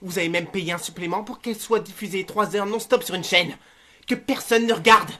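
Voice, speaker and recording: A woman's voice: mid-range voice, a little fast, sharp tone, close to an everyday voice. A woman voicing a prim, exasperated male demon employee with a fussy, indignant manner in a cartoon fan dub.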